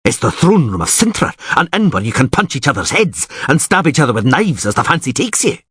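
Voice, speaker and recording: A man playing a calm, grim island lord who speaks in a measured, scathing way. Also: medium pitch, slightly rough, pitched above the performer's own voice.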